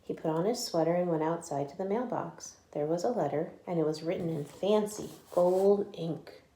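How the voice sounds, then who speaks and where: A woman reading a picture book aloud to children like a teacher, with animated storytelling and chatty asides. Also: on the low side, moderate pace. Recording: echoey room.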